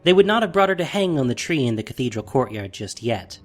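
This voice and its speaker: Expressive male story narrator, lively pitch swings. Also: fast, faint music behind. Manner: expressive, theatrical. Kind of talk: dramatic scripted story narration.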